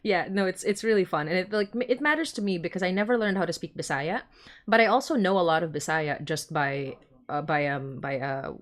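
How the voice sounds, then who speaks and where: Low-voiced woman hosting a casual chat. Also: brisk. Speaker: podcast host and writer. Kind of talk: casual panel chat on a livestream.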